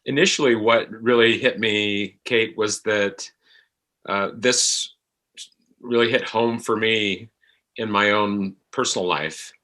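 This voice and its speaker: A man speaking as an interview guest, reflective and halting as he searches for his words. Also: on the low side, steady tone, sharp tone. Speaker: podcast guest, actor.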